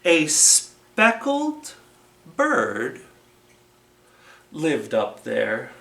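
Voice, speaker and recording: A children's library storytime presenter reading a story aloud in a deliberate, expressive way, recorded in a roomy space at some distance from the microphone. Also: mid-range voice, creaky. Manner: slow, deliberate, expressive read-aloud delivery. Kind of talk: animated storytelling with felt board.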